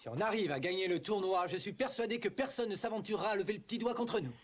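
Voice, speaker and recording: An actor playing a cheerful, teasing outlaw companion in an animated film. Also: male voice, high voice, quick pace. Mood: confident.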